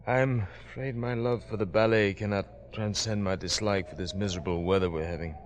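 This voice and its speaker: An actor playing a gentle, worried father who speaks formally and hesitantly in an old-time radio drama. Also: fairly low pitch, steady tone, brisk, natural voice. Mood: weary, apologetic. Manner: hesitant, courtly phrasing. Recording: faintly noisy old radio recording.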